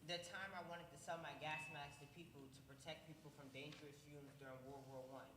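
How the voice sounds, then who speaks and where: A young performer playing a quick-talking early inventor. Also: medium pitch, steady tone, close to an everyday voice. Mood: matter-of-fact. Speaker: youth performer in a church skit. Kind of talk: stage acting in a church program. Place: church hall. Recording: echoey hall with long reverb and background noise.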